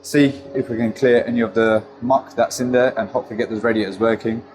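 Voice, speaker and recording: A man's voice: mid-range voice, steady tone, fast talker, darkish tone. A gas engineer with a stuffy nose from a cold, chatting to his phone as he explains his jobs step by step. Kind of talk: casual work vlog to camera. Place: customer's house. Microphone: handheld phone.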